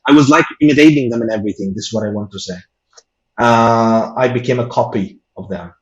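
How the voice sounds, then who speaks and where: A man with giving a personal testimony in an interview, earnest and halting with many restarts. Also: medium pitch. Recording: echoey room, dull lo-fi sound.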